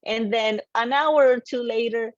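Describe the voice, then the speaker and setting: A woman with a Colombian accent and a fairly high voice delivers a motivational talk. She is animated and emphatic, speaking straight to her audience and repeating key phrases. The audio is somewhat dull and lo-fi, with a touch of room echo. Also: unhurried. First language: Spanish. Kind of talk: motivational presentation to a live online audience. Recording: dull, lo-fi online audio, slightly roomy.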